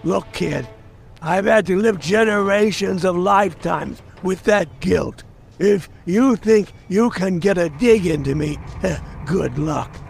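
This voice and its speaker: Ancient, thick-skinned salesman; high gravelly voice, weary and guarded, audio drama. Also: slow pace, soft background music, exaggerated voice. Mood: bitter. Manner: weary, defensive. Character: jaded, centuries-old salesman, thick-skinned and guilty.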